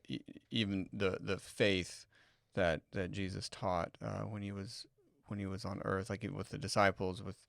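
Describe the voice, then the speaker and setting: Deep, creaky-voiced man thinking aloud. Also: no echo, clean studio sound. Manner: halting, searching for words. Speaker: podcast co-host. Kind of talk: reflective casual discussion.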